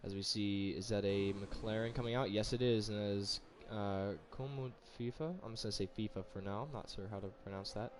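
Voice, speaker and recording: A man who is feeling sick, with a raspy voice, commentating a racing league in a hesitant way with fillers. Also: lowish voice, steady tone, brisk, few pauses. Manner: hesitant, with fillers and self-corrections. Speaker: race commentator.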